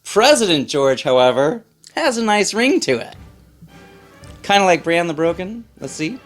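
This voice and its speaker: A man's voice: high-pitched, sing-song, moderate pace, soft background music. A man hosting a children's read-aloud, reading in a playful, theatrical way and putting questions to young listeners.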